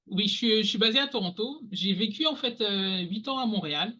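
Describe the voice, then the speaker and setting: A man in his forties from the Paris region, answering questions on a podcast. His voice is very bright and sits a little high, and he talks in a halting, casual way, full of pauses and restarts. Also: animated. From France, Paris region. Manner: halting, many fillers and restarts. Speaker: podcast guest. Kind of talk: casual interview talk.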